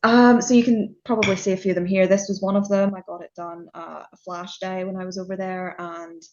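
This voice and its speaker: A woman's voice: medium pitch, flat delivery, brisk, a little mellow, dull audio, slight background noise. Chatty young Northern Irish woman. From Northern Ireland. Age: young adult. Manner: chatty, with many fillers and restarts. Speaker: podcast guest, student and music journalist. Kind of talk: casual podcast chat.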